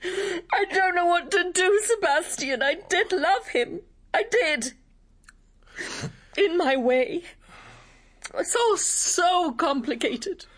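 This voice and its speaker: A woman's voice: extremely high pitch, animated, takes it slowly, long pauses, exaggerated voice. An actress in a radio drama playing a frank, wounded woman who sings opera and is in love. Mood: anguished.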